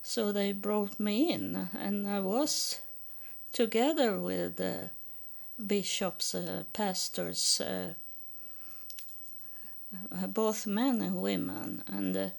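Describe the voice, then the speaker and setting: A woman with a Swedish accent tells her story in English, slowly and haltingly, stopping often to search for words. Her voice has a gravelly, creaky edge at the end of phrases. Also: medium pitch, a little mellow. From Sweden. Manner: halting, many fillers and restarts. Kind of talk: personal testimony.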